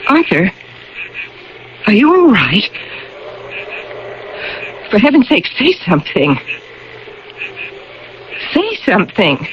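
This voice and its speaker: A woman's voice: mid-range voice, wildly swooping pitch, takes it slowly, pauses often. An actress playing a devoted, anxious middle-aged wife in a radio drama, earnest and urgent as she tells and lives her story. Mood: worried, insistent. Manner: earnest, urgent, repeating herself. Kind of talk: radio drama acting and first-person narration. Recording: muffled, noisy old radio broadcast.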